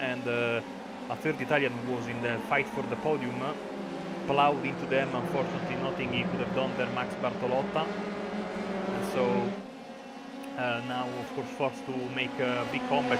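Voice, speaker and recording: A man commenting on a sim racing broadcast in a language that is not his native one, chatty and hesitant, with many fillers. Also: medium pitch. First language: not English. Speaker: race commentator. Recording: loud background car noise. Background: race car engine sounds.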